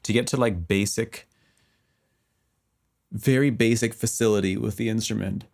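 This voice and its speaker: A man's voice: on the low side, normal speed, vocal fry. A man in his thirties hosting a music livestream, chatting casually and laughing as he reads comments and works. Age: 30s. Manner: relaxed, laughing, thinking aloud while working. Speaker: livestream host composing music. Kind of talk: casual livestream chat, reading viewer comments. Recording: faint music playing behind, keyboard typing.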